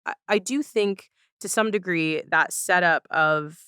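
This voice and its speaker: Smooth-voiced woman hosting a podcast, dry sound. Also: fairly low pitch, measured pace, bright, forceful tone, no echo. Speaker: podcast host. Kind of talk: casual podcast conversation.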